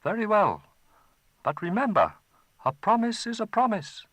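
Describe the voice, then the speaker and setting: A man's voice: high voice, very animated, moderate pace, fairly bright tone, dry recording, pitched above the performer's own voice, heavily acted. A man voicing a brave young boy in a storybook reading, earnest and defiant. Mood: determined.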